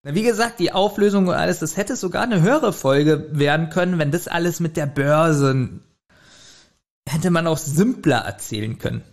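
A man's voice: on the high side, lively intonation, moderate pace. A man, speaking casually as a podcast co-host giving a review.

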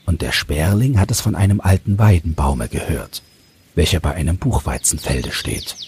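Very deep-voiced male storyteller. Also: animated, normal speed, vocal fry, darkish tone. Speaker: storyteller and podcast host. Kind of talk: scripted fairy-tale narration. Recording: faint background noise.

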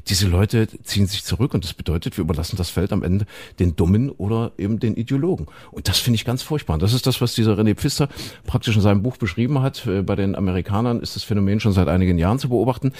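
A low-voiced man co-hosts a casual podcast, speaking earnestly with a cold. He halts often, with fillers and repeated words. The sound is dry and close, with no room echo. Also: dark tone. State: has a cold. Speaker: podcast co-host.

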